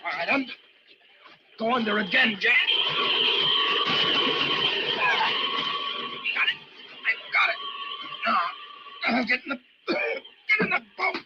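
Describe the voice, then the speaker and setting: An actor playing a desperate lighthouse keeper narrating a storm ordeal in an intense, breathless old-time radio drama style. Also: male voice, very high-pitched, sing-song, moderate pace, long pauses, very noisy. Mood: frantic straining. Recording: muffled old radio recording with dramatic music.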